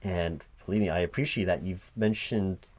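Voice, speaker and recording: A man playing a polite, flustered hiring manager in an improvised interview roleplay, speaking aloud in a halting, rambling, stammering way. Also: deep voice, darkish tone. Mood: baffled, curious. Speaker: recruiting manager in a one-sided roleplay.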